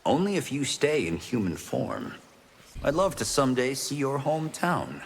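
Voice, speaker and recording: An expressive, courteous young champion. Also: male voice, medium pitch, normal speed, vocal fry. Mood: polite, curious. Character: polite, friendly, curious young male champion fighter. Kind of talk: video game fight banter. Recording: faint background noise.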